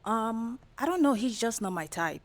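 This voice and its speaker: A woman in her thirties answers questions on a dating show. She sounds unsure and hesitant, often trailing off without a clear answer. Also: fairly high pitch, lively intonation, moderate pace. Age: 30s. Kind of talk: casual chat.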